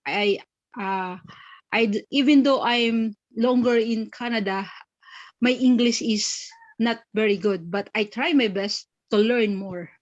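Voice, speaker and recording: A woman's voice: a little high, no echo, dull audio. A woman from the Philippines, introducing herself politely and earnestly in an English class over a video call. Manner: polite, earnest, simple phrasing.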